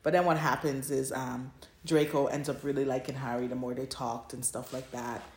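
Female podcast co-host, notably low register, casual storytelling chat, roomy echoing sound. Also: level tone, moderate pace, a little mellow. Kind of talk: casual chat summarizing fan fiction. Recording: echoey room.

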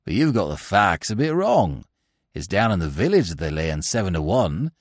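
A man reading an audiobook and voicing a sly, scheming young male gambler who talks in a low, smooth, insinuating way. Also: big pitch swings, normal speed, dry recording, clean studio sound.

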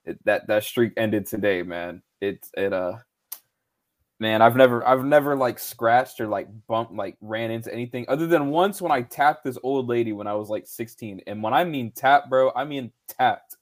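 A man's voice: mid-range voice, moderate pace. A man co-hosting a live podcast, chatting casually and loosely, swearing a lot and saying "bro" and "man". He is losing his voice. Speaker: podcast co-host. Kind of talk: casual live-stream chat.

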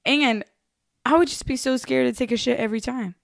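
A woman's voice: sits high, a little fast, vocal fry, no room sound, studio quality. A young woman co-hosting a podcast, chatting in a laid-back, slangy way. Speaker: podcast co-host. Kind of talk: casual chat.

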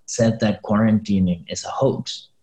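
A man from Chile chatting informally as a guest, thinking aloud in a halting, slangy way. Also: fairly low pitch, steady tone, mellow. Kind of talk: casual chat. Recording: echoey room.

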